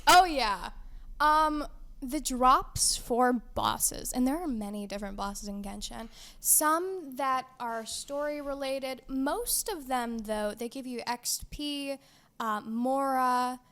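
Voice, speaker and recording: A woman co-hosting a casual gaming podcast, talking in a lively, playfully dramatic way and laughing often. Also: sits high, slow pace. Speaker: podcast co-host.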